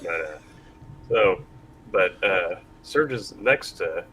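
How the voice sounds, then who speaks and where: A man's voice: low voice, expressive, extremely slow, pushed and bright. A man hosting a casual panel discussion in a rambling, self-deprecating way. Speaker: panel host. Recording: faint background noise.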